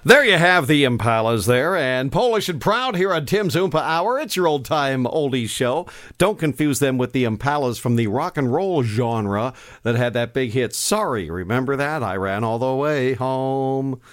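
A man's voice: mid-range voice, animated, normal speed, a bit bright, dry recording, clean studio sound. A man hosting an oldies radio show, chatting casually and wryly between records, teasing his listeners with a few fillers along the way.

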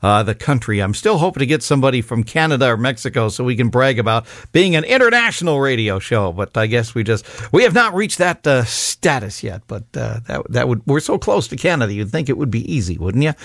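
A man's voice: medium pitch, big pitch swings, fairly quick, vocal fry, few pauses, fairly bright tone, no echo, clean studio sound. A man with a Minnesota accent hosting a radio music show in a folksy, rambling, conversational way.

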